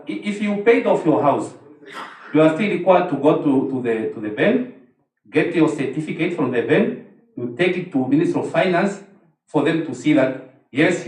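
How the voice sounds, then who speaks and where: A man's voice: fairly high pitch, darkish tone. Man answering a question, stumbling restarts. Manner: stammering restarts, explanatory. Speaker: workshop presenter. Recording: echoey hall, distant mic.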